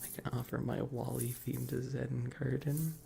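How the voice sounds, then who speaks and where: A woman speaks in a low, heavily fried voice with a soft, mellow tone. She chats playfully with her livestream viewers, circling back on her questions in a teasing way. The sound is that of a casual ASMR stream. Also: little pitch movement, normal speed, creaky, soft background music.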